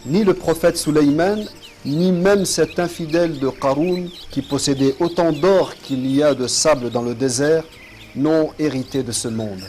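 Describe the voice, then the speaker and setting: A man voicing a calm, pious and patient prophet in a religious cartoon, speaking in a measured, solemn, instructive way. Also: a little high, lightly acted. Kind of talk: dubbed religious cartoon acting. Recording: music under the voice.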